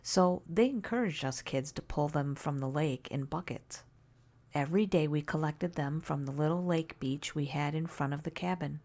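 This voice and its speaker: A woman softly narrating a scary story in ASMR style, hushed and gentle, often slipping into a whisper. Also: sits low, creaky.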